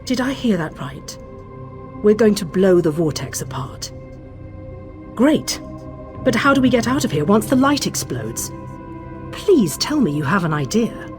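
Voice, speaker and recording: Dutiful, anxious female fighter for a fantasy game: earnest, slightly low, highly expressive. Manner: earnest, urgent, emotional. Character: resolute, worried woman fighter, dutiful sister. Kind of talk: dramatic fantasy game dialogue. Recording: studio voice under prominent music.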